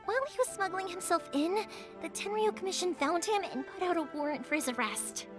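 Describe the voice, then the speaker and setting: A woman voicing a cheerful, warm-hearted young fireworks maker in a game, friendly and reassuring. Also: very high-pitched, fairly quick. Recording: game audio with background music.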